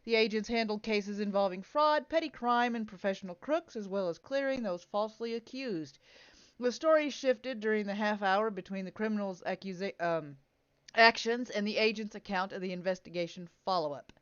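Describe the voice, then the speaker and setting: A woman hosts in a casual way, switching between chat and reading notes. Her voice is smooth and mid-pitched, recorded dry and a little dull. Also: moderate pace, dry recording. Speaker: host.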